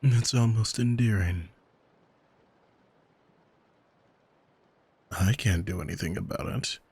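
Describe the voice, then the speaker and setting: A man playing an arrogant, manipulative demon in an intimate ASMR roleplay, speaking in a soft, teasing, condescending and commanding way to the listener. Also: fairly low pitch, normal speed, husky. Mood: teasing, stern. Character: arrogant male demon, seductive, condescending, coolly manipulative captor. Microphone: close microphone, dry.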